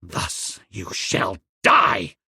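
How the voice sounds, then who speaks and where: Man putting on a grave jungle avenger, deep, slow, grim, dry sound. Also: dry recording. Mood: hissing. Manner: slow, low, grim speech.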